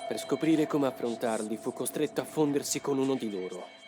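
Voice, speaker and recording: A man acts as an intense young man with secrets, from a dubbed anime motion comic. His mid-range voice is cool, solemn and used to giving orders. Music plays clearly behind him. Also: medium pitch, a little fast, close to an everyday voice. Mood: grave. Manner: solemn, commanding, dramatic.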